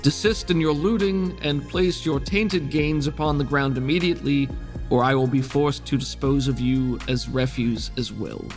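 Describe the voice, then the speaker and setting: A man's voice: mid-range voice, normal speed, soft background music. Man doing a pompous hulking armored custodian. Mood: imperious, threatening. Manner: pompous, formal proclamation. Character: hulking armored beetle-riding custodian, imperious and haughty. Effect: amplified voice, as narrated. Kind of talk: theatrical tabletop game villain.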